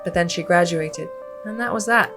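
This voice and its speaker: A woman's voice: on the low side, normal speed, fairly bright tone, soft background music. A woman voicing a friendly, folksy, chatty young small-town woman in a visual novel game. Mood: reminiscing.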